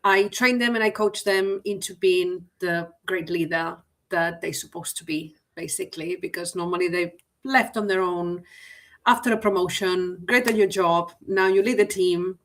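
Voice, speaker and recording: A woman from Spain with a Spanish accent, speaking as guest co-host in a relaxed, reflective way. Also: lowish voice. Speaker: guest co-host, leadership coach. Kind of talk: casual conversation.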